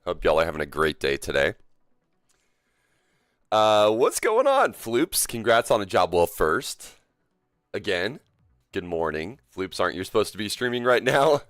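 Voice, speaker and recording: A man's voice: on the low side, huge pitch swings, measured pace, pauses often, a bit bright, dry recording, studio quality. A man live streaming a game, sick and coughing, chatting loosely with viewers and joking as he greets chat. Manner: loose and joking, rambling with fillers. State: sick with a cough, voice deeper than usual. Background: faint game music.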